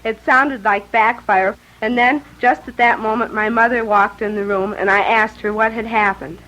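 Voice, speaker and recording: A woman's voice: a little high, steady tone, normal speed, a bit bright, a little hiss or hum, rough recording. A fifteen-year-old American girl giving a recited eyewitness statement. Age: teenager, fifteen.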